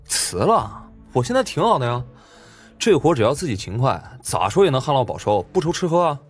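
A man's voice: mid-range voice, sing-song, fairly quick, breathy edge, faint music behind. A man playing an easygoing, hesitant working man and loyal buddy, talking colloquially in an audiobook drama.

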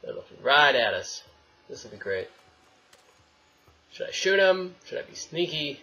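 A man's voice: on the high side, big pitch swings, a little slow, bright, forceful tone, slightly rough. A young man giving casual, wry gameplay commentary, swearing and talking to the game's characters. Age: young adult. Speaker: gamer, let's play host. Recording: noisy, game sound behind voice.

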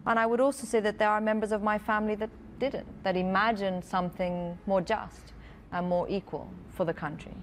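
Author guest, woman, thirties, from Pakistan; measured and earnest, speaking on a festival stage with faint ambience. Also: mid-range voice, steady tone, slight background noise. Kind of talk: reading aloud and answering interview questions. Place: festival stage before a large audience.

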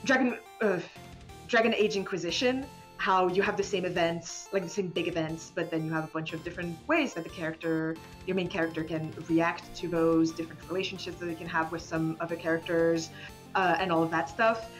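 A woman game developer chatting casually as an interview guest, rambling in an animated, giggly, hesitant way. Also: on the low side, brisk, soft background music. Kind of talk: casual spoken interview chat.

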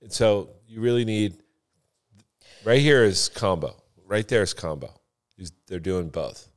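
Male, lowish pitch, assertive and emphatic ex-salesman swapping stories in loose, casual talk. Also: expressive, unhurried. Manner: assertive, emphatic, repeats himself, swears casually. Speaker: former car salesman and floor manager, podcast talker.

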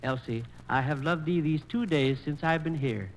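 An actor playing a sly, ardent disguised bridegroom in a 1940s radio operetta, with theatrical archaic delivery. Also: male voice, on the high side, moderate pace, slight background noise, lightly acted, low-quality recording. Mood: ardent, testing. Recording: old 1940s radio transcription disc.

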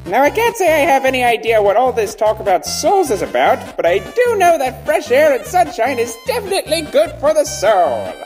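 A woman's voice: sits high, big pitch swings, brisk, hardly any pauses, darkish tone. A woman voicing a cheerful, kind-hearted, pompous male skeleton in a cartoon dub, earnest and theatrical. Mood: encouraging. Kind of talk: fan comic dub voice acting. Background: music.

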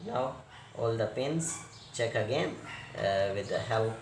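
Male repair technician, tutorial read: slow, halting non-native phrasing, echoey room with noise. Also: lowish voice, expressive. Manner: halting, fragmented instructional delivery with non-native English phrasing. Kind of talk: step-by-step hands-on repair tutorial. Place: indoor repair workbench. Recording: roomy echo, clear background noise.

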